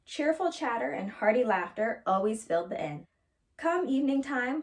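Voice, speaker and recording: A woman reading a children's storybook aloud as a storyteller, in an expressive narrating style. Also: a little high.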